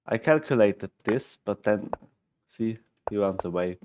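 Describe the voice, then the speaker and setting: A young man from Switzerland, German his first language, speaks English with a Swiss German accent. He thinks aloud in a halting, musing way, correcting himself as he goes, his voice sitting a little low. The headset audio sounds dull and lo-fi, with faint hiss underneath. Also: animated, a little mellow. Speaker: chess streamer. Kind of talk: thinking aloud while solving chess puzzles, chatting with viewers. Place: small room at home, indoors.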